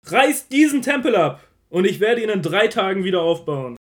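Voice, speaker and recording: A man's voice: high voice, sing-song, moderate pace. A man acting a commanding, firm male teacher in a scripture audio drama, speaking in an emphatic, declamatory way. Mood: challenging.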